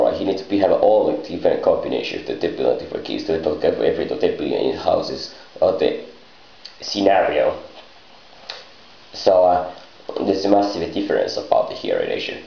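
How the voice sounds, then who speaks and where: A man improvising a rambling monologue in halting, disjointed phrases with garbled grammar. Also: sits low, creaky. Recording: muffled lo-fi, echoey room.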